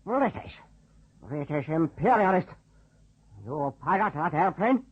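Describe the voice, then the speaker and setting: An actor playing a harsh, suspicious interrogator speaking curt broken English in an old radio drama. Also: male voice, on the high side, very animated, a little slow, husky, exaggerated voice. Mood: accusing. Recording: muffled old radio, loud noise.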